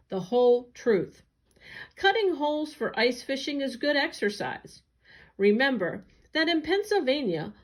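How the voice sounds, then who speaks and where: Lady reading a kids educational piece out loud, highish voice, really slow and careful, lots of up and down. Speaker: educational narrator, agency staff reader. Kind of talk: scripted read-aloud of a children's educational article.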